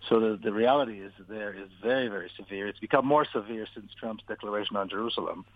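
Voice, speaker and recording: A man from Israel giving a deliberate, earnest account as a radio interview guest, repeating words for emphasis. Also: fairly low pitch. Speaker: interview guest, author and activist. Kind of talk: radio interview, personal account and political argument. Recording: tinny, phone-like narrow-band line.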